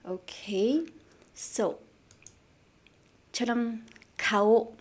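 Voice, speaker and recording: A woman's voice: a little high, drawn-out words. A woman from Malaysia teaching Japanese idioms in a live online lesson, repeating words and asking viewers questions, switching between English and Japanese.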